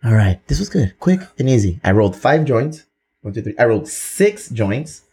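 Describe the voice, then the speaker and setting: Man, 30s, podcast host, casual banter, swearing, Spanish phrases mixed in, mid pitch. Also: sing-song, moderate pace, mellow, breathy edge. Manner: profane, joking, slips into Spanish.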